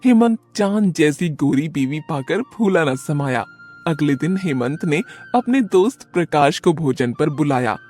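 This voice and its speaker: A woman's voice: sits low, expressive, unhurried, a little mellow, soft background music. A woman reading scripted narration for a storybook tale as its narrator.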